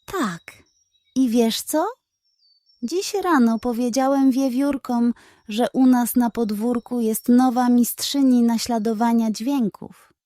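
A woman voicing a sly, greedy male pug dog in a children's storybook, a fast-talking schemer with a wheedling, theatrical manner. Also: a little high, warm tone, dry recording. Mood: wheedling flattery.

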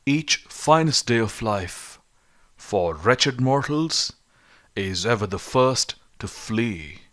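A man narrating an audiobook of philosophy in a measured, rhetorical way, with emphatic delivery. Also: mid-range voice, animated, a bit bright. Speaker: audiobook reader.

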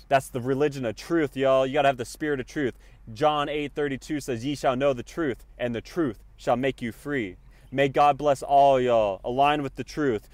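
A man's voice: mid-range voice, no echo. A young man preaching emphatically into his phone from inside a car, using an earphone mic and saying y'all often. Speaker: spiritual vlogger. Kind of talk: preachy selfie vlog monologue. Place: parked car. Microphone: earphone mic, phone at arm's length.

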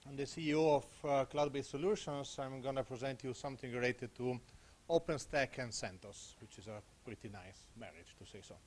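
A man's voice: medium pitch, brisk, darkish tone, slight background noise. A man in his thirties with an Italian accent, presenting at a conference on a handheld mic in a small room, casual and conversational, often checking in with "okay?". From Italy. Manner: conversational, tags points with "okay?". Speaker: conference speaker. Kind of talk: technical conference talk. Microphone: handheld microphone.

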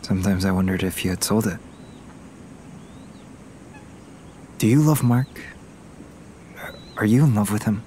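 A man's voice: fairly low pitch, a little fast, dark tone, a little hiss or hum, close to an everyday voice. An actor playing a vulnerable young man back from military service in an audio drama, hesitant and earnest. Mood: subdued, searching. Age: young adult. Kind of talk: naturalistic audio drama acting.

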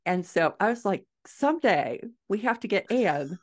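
A woman's voice: mid-range voice, expressive, normal speed, darkish tone. A middle-aged American woman explaining things conversationally as an interview guest, thinking aloud on a video call from home. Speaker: guest author and podcaster. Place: living room at home, indoors, on a video call.

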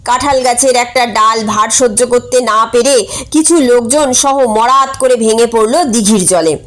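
A woman's voice: a little high, a little slow. A woman reading a humorous short story aloud as a scripted narration.

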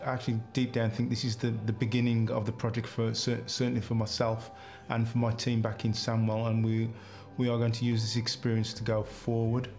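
A man in his fifties reflects in an interview with very little rise and fall. His pitch is average and music plays behind him. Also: monotone, brisk, few pauses, darkish tone. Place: small office indoors.

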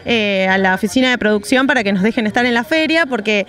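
A cheerful young Argentine woman talks about her travels on a street by parked vans. Her voice sits a touch high and she leans on "y bueno" between thoughts. She speaks into a handheld reporter mic outdoors. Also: lively intonation, fast talker, fairly bright tone. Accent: Argentine Spanish. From Rosario, Argentina. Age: young adult. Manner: cheerful, chatty, many fillers like "y bueno". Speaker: interviewee, traveling artisan. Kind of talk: street interview.

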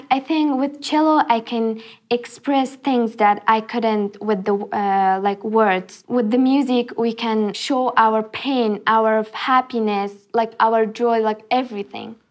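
A woman's voice: a little high, takes it slowly. A sixteen-year-old Afghan girl with an Afghan accent, a cellist speaking hesitantly and casually in a documentary interview, in an indoor room. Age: teens. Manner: hesitant, casual, many "like" fillers. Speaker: musician.

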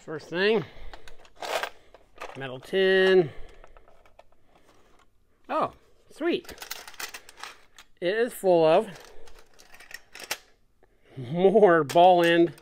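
A man's voice: sits high, animated, drawn-out words, long pauses, slight background noise. A man chatting casually to camera in his workshop, naming tools as he unpacks a box. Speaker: machine shop owner showing a tool haul. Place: workshop bench, indoors.

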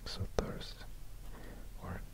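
A man with a Swedish accent giving soft, whispery ASMR narration in a hushed, gentle manner, close to a binaural mic. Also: very slow. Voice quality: whispery, breathy, unvoiced. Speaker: ASMR video host. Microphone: close binaural microphone.

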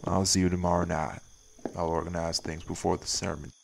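A stern wraith priest played by a man speaks in a low, even voice with a gravelly edge. He is laconic and folksy, a tired guardian in an audio drama, with a faint hiss behind him. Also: brisk. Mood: calm, resolute. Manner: laconic, folksy, drawled nonstandard grammar. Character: stern, weary male wraith priest guarding his town. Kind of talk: scripted audio roleplay acting.

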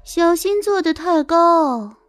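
A woman voicing a haughty, menacing young concubine in an audio drama, sneering and scolding with drawn-out threats. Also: very high-pitched, expressive, faint music behind, voice pushed up, full character voice. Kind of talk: audio drama character acting.

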